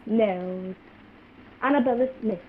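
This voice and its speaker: A woman playing a frightened, secretive spy on the run in a radio play, pleading and urgent in halting phrases. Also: medium pitch, close to an everyday voice. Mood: anxious confession. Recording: tinny phone-like video call, faint noise.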